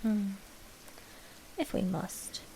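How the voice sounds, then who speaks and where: A woman's voice: mid-range voice, animated, vocal fry, warm tone, slight background noise, exaggerated voice, rough recording. A woman playing a sweet, scheming vampire royal. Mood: composed. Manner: formal, deliberate, coaxing. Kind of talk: scripted ASMR roleplay drama.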